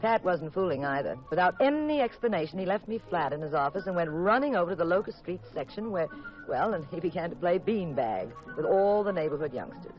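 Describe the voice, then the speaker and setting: Actress as a sardonic detective's wife, playful and arch, muffled old 50s radio show. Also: female voice, fairly low pitch, expressive, fairly quick, over music, lightly acted. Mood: wry narration. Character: sharp, teasing wife of a detective. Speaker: lead actress and narrator. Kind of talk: 1950s radio detective drama acting. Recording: muffled vintage radio recording.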